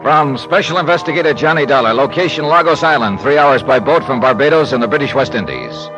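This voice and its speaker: An American actor playing a world-weary, wry insurance investigator in a 1950s radio drama, speaking tersely. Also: male voice, mid-range voice, expressive, fairly quick, highs cut off, lightly acted. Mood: weary, businesslike. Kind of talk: 1950s radio drama acting, hard-boiled narration. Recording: old radio recording with music.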